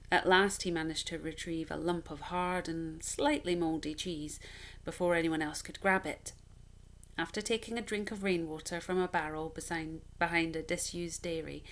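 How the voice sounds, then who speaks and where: A woman reading a children's story aloud in an expressive, measured, dramatic storytelling style. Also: lowish voice.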